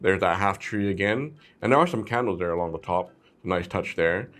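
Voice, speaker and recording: Male review host, lowish voice, informal and playful, chats directly to the audience. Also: lively intonation, normal speed, fairly bright tone. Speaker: host of a brick-set review channel. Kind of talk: casual chatty product review.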